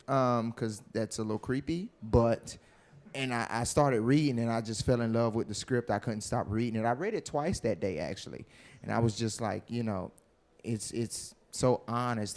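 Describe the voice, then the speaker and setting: A young man, an actor in a casual interview, joking playfully and telling stories in an animated way. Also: medium pitch. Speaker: film actor being interviewed.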